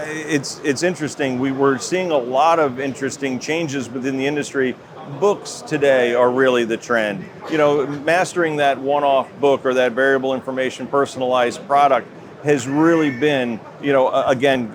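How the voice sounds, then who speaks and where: Middle-aged american guy, company exec being interviewed at an expo, clear noise around him. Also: medium pitch. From United States. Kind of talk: trade show interview. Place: trade show floor. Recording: noisy show-floor ambience.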